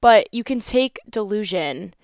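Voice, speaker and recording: A woman's voice: medium pitch, animated, unhurried, darkish tone, clear, resonant tone, dry recording, clean studio sound. A young woman hosting her own podcast in casual chat, playful and chatty, swearing freely. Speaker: podcast host.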